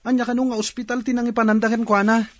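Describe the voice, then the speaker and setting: A man's voice: very high-pitched, flat delivery, fast, no echo. Casting: earnest Ilocano working man, respectful but anxious, close dry radio drama sound. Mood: alarmed. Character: worried, respectful male employee.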